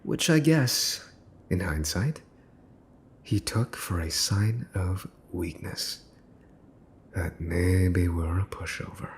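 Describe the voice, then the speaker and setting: A man's voice: low-pitched, takes it slowly, long pauses, a little hiss or hum. A man playing a mafia boss's son in an ASMR roleplay, smug, teasing and menacing, with a mocking theatrical drawl. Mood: smug menace. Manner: mocking, theatrical drawl with mock-cute wording. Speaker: voice actor.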